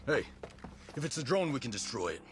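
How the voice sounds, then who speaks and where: A man playing a gruff, wry special-ops soldier in a video game cutscene, dry and guarded in manner. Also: mid-range voice, very animated, a little fast, music in the background, close to an everyday voice. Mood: skeptical, firm.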